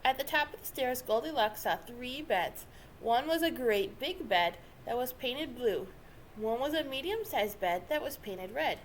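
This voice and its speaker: A woman reading a children's story aloud with expressive, dramatic narration. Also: a little high, a little slow, slight background noise. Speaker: storybook reader.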